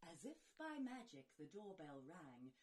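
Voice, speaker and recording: A woman's voice: sits low, sing-song, a little slow, a little mellow. A woman co-hosting a podcast, narrating a rhyming comic story in a playful, theatrical way.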